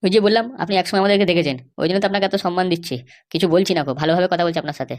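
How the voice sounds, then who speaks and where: A woman's voice: lowish voice, racing, darkish tone, lightly acted. A woman voicing a stubborn, bribe-seeking police officer in a comedy cartoon, insistent, lecturing and dismissive. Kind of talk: Bengali comedy cartoon dialogue.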